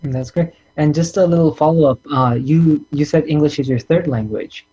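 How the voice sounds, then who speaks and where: A man's voice: on the high side, normal speed, warm tone, muffled recording. A young man, a student, asking interview questions in a friendly way with some fillers. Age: young adult.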